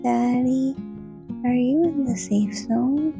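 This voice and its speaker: A woman playing a sweet, trusting young daughter in an audio drama, speaking gently and earnestly. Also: fairly high pitch, takes it slowly, gravelly, music in the background. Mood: sleepy, trusting. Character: sweet, trusting daughter, child to mid-teens, telling family stories.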